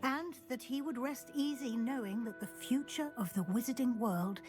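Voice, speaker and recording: Woman as a high-voiced, dignified witch professor. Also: natural voice. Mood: kindly, reassuring. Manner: measured, earnest, formal. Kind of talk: solemn dramatic speech, video game acting. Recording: music behind the voice.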